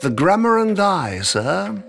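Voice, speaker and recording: A man's voice: high voice, big pitch swings, creaky, fairly bright tone, soft background music, full character voice. An actor playing an older, proper male butler, formal, dry and patiently disapproving, in a comic-book drama. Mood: dryly disapproving. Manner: formal, dry, measured, politely correcting.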